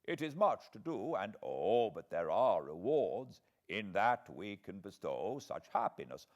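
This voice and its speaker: Slow, courtly old professor. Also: male voice, on the low side, very animated, highs cut off. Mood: gentle, coaxing. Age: older. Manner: slow, deliberate, halting broken-English phrasing. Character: elderly courtly foreign professor, kindly, grave, wise. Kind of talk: audiobook character voice.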